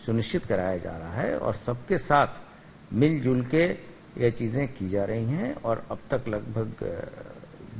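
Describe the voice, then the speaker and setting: A man's voice: medium pitch, creaky, a little mellow, slight background noise, poor audio. A man speaking as an official in a formal, bureaucratic way, with some hesitations. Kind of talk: on-camera interview statement.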